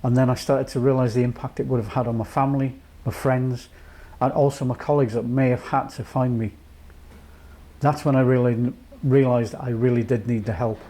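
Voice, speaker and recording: A man from North Wales in his late fifties, a charity chief executive giving a measured, reflective personal account with many pauses. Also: mid-range voice, steady tone, dark tone. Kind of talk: personal testimony to camera.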